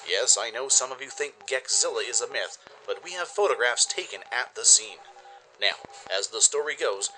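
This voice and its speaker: A man's voice: mid-range voice, expressive, moderate pace, pushed and bright, soft background music, low-quality recording. Man playing a wry, punchy wasteland radio DJ. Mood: wry, amused. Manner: wry, mock-serious storytelling. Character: wasteland pony radio DJ, wry gossipy newscaster. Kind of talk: radio news bulletin.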